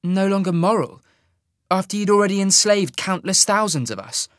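A man's voice: high-pitched, animated, moderate pace, a bit bright, dry recording, pitched above the performer's own voice. A skeptical young woman fighter speaks in a raised, pointed voice, as a male narrator puts her on. Her lines come in clipped and direct. The sound is close and dry.

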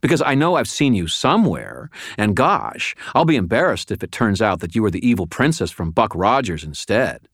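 A man performs a wisecracking wizard in an audiobook. He is sardonic and mock-cheerful, with a very lively swing to his pitch and a dry, close sound. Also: moderate pace, hardly any pauses, dry recording. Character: wisecracking, defiant male wizard.

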